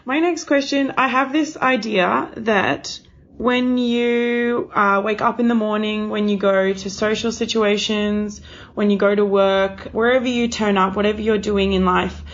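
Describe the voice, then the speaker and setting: A woman with a mid-range, lively voice conducts a conversational interview. She is encouraging and affirming toward her guest. The sound is a little dull and lo-fi. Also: mid-range voice, unhurried.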